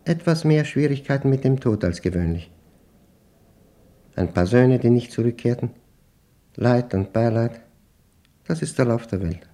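A man acting a brooding mathematician in a German radio play, reading his diary in terse, deliberate, measured fragments. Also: mid-range voice, warm tone, lightly acted. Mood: brooding, reflective.

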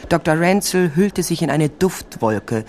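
A woman's voice: deep voice, expressive, measured pace, a little mellow, slight background noise. An actress playing a shrewd, wry investigator who narrates her case in a dry, matter-of-fact way in a radio crime drama.

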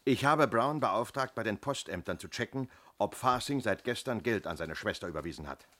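An actor playing a methodical, fair-minded police inspector in a German radio play, measured, matter-of-fact and probing. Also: male voice, on the low side, animated, bright, forceful tone.

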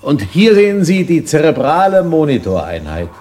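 An insinuating male research director in a science fiction radio play. His mellow voice is very varied in pitch, polite on the surface and probing underneath. Faint noise sits behind him. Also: huge pitch swings, normal speed. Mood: proud, inviting. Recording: faint background noise, early-1990s radio drama.

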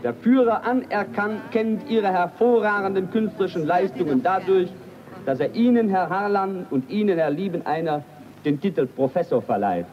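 Male official, high-pitched, formal ceremonial address, muffled 1940s archive sound. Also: lively intonation, normal speed, crowd noise. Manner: declamatory, formal. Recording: dull 1940s archive recording.

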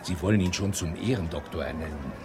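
An actor playing a teasing Texan gossip who relishes telling a scandal in a radio drama. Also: male voice, sits low, quick pace, music in the background. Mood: gossipy, teasing. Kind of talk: radio drama dialogue, anecdotal storytelling.

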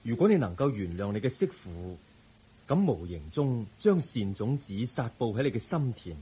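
An actor playing a calm, compassionate Buddha in a Hong Kong Cantonese radio drama, preaching in a solemn, measured way. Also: male voice, mid-range voice, slightly rough. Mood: gentle, consoling. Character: calm, compassionate male Buddha counselling an aggrieved believer.

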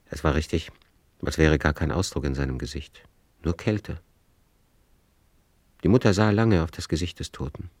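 A man narrating a literary radio drama in a measured, grave way of storytelling. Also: a bass voice, expressive, a little mellow, no room sound.

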